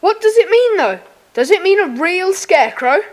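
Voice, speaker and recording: A woman's voice: very high-pitched, very animated, normal speed. A woman playing an earnest, eager schoolboy who explains things carefully in a children's audiobook drama. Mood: eager, puzzled. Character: eager, earnest schoolboy telling his news.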